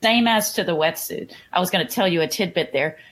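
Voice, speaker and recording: Chatty Texan woman, lowish voice. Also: a little fast, a bit bright. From Houston, Texas. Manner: chatty, repeats words when starting sentences. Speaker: triathlon coach. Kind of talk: podcast interview answers.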